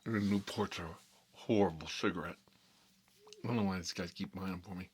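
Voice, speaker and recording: A man playing a gruff, sardonic mob boss who rambles and repeats himself through mock threats, in an ASMR-style comic monologue with a husky voice. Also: low voice, a little fast. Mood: grumbling, wry.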